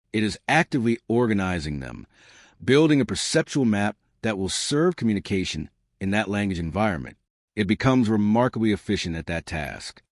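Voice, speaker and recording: A man narrating a scripted science explainer, measured and deliberate, with slow emphatic short sentences. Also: lowish voice, dry recording, studio quality.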